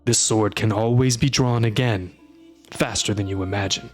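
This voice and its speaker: A man voicing a calm, guarded male monster hunter who speaks tersely. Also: lowish voice, moderate pace, soft background music, close to an everyday voice.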